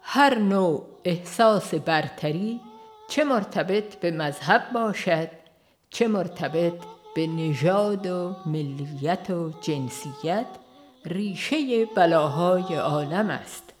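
A woman reads a religious book as a formal audiobook narrator, in a solemn, measured way. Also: fairly low pitch, lively intonation, soft background music. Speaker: audiobook reader.

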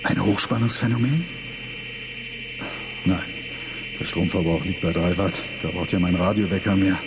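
An eager, ambitious physicist in a radio play. His voice is fairly low and his delivery emphatic and technical. A clear hum runs behind him. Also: normal speed. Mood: concentrated, surprised. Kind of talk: radio drama acting.

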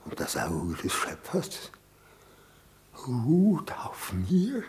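An actor playing a very old, indignant, grandiose cardinal in a 1960s German radio play, declaiming pompously and haltingly. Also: male voice, mid-range voice, wildly swooping pitch, a little mellow, full character voice. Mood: grandiose, fervent. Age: older. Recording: roomy echoing 1960s radio studio.

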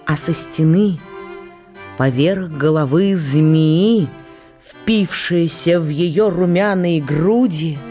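A woman giving an expressive literary narration as the narrator of a radio drama. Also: low voice, slow, faint music behind.

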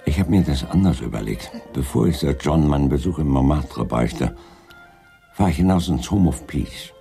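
An actor playing a wry, unflappable Scotland Yard chief inspector with dry irony in a German crime radio play. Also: male voice, rumbling low, animated, moderate pace, gravelly, warm tone, faint music behind, heavily acted. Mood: decisive.

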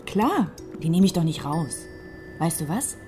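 Self-possessed, softly mellow woman. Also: fairly low pitch, big pitch swings, a little slow, faint music behind. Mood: coaxing. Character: self-possessed woman, coaxing and teasingly domestic. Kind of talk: radio crime drama dialogue.

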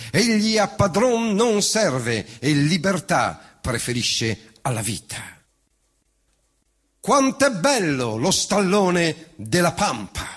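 A man's voice: fairly high pitch, breathy edge. A man reciting a verse memoir in a lyrical, declamatory stage manner. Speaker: reciting actor. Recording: loud band music behind the voice. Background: wind band accompaniment.

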